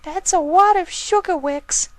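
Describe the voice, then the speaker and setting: A tiny, squeaky cartoon filly, pitched extremely high and bursting with excitable energy. The little pony speaks with childish indignation and nervous pluck in a dramatised story. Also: a little slow, no echo. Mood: indignant, squeaky.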